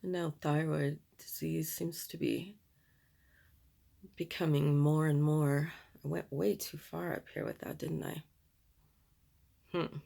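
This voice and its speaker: A middle-aged woman with a raspy voice, chatting candidly while doing her makeup, in a slow, rambling manner. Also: sits low, flat delivery, dark tone. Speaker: beauty vlogger.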